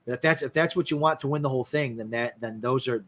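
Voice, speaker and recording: A man's voice: mid-range voice, quick pace, fairly bright tone. A man co-hosting a fantasy sports show in casual, informal chat, often repeating words as he goes. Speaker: fantasy football show co-host.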